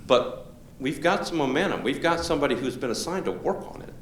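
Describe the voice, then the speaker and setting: A man's voice: on the high side, lively intonation, a little fast. A man facilitating a public meeting, talking informally and restarting his sentences. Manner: informal, conversational, with frequent restarts. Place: large room at a public library. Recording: echoey hall, faint room noise.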